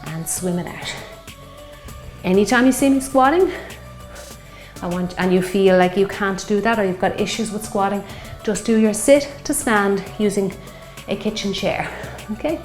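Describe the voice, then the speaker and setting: A woman in her fifties, a fitness instructor leading a guided strength workout, slightly hoarse from a sore throat and cheering on the class as she counts out reps. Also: medium pitch, normal speed, reverberant, soft background music. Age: 50s. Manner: encouraging, counting reps while exercising.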